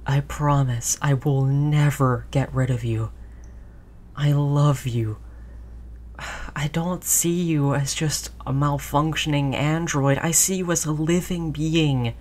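A woman playing a gentle human who soothes their android companion in an intimate roleplay audio drama, tender and reassuring. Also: low voice, fairly even intonation, takes it slowly. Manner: soothing, tender, hushed, repeating reassurances softly.

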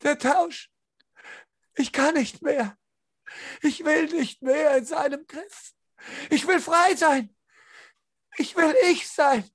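Man putting on a high-pitched, desperate pleading woman. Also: slow pace, pauses often, a bit bright, no room sound, studio quality, heavily acted. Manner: pleading, urgent, repetitive. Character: terrified, desperate captive woman begging for rescue.

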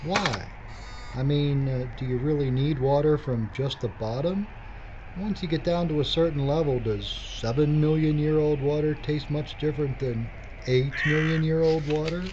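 A man's voice: on the high side, normal speed, natural voice. A man acting an inquisitive, dry-witted cameraman in an audio drama. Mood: curious, dry. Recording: muffled recording with clear noise.

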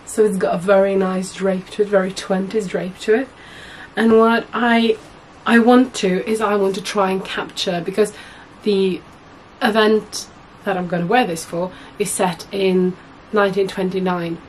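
A young woman with a husky voice, hoarse from a cold, chatting to camera as a sewing vlogger in a small room at home, rambling and correcting herself often. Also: mid-range voice, steady tone, normal speed, a little hiss or hum, poor audio. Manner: chatty, rambling, many fillers and self-corrections. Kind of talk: casual chat to camera.

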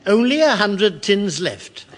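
High-voiced, hapless British office junior. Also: male voice, sing-song, takes it slowly, exaggerated voice. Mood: puzzled. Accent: British English. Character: naive, hapless male junior civil servant, cheerfully tactless. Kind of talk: 1960s radio situation comedy acting. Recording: slightly noisy vintage radio recording.

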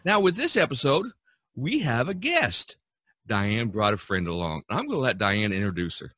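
Podcast host, male, forceful and rough-edged, recorded dry and close. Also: mid-range voice, big pitch swings, moderate pace, no room sound. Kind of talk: podcast introduction.

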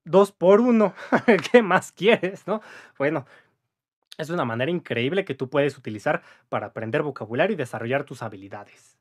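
Young mexican guy teaching english on camera, kinda high voice, chatty and upbeat, keeps going "va?". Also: lively intonation, normal speed. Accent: Mexican Spanish. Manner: chatty, upbeat, frequent "¿va?" and "¿vale?" tag questions. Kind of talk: casual video lesson. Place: indoor room with a patterned wall.

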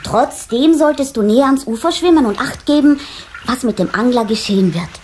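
Woman as a high, plucky little sprite boy. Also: lively intonation, fairly quick, a little mellow, close to an everyday voice. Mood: curious, scheming. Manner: cheeky, defiant. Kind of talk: children's radio play acting. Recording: slightly noisy old cassette transfer.

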